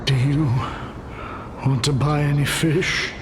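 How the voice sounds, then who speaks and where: An actor voicing a forlorn, indignant dead fish seller who rambles and repeats himself in a video game. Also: male voice, fairly high pitch, flat delivery, slow, background noise. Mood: dazed, pleading. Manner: rambling, broken repetition.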